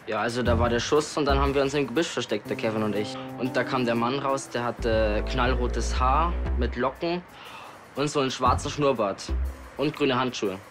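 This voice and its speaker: A man's voice: medium pitch, normal speed, music in the background, lightly acted. A young male actor playing a cheeky thirteen-year-old boy in a TV comedy.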